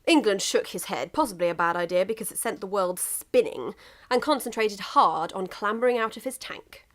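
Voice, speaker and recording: A woman reading a comic story aloud in an expressive amateur narration. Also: mid-range voice, normal speed. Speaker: amateur fan fiction reader.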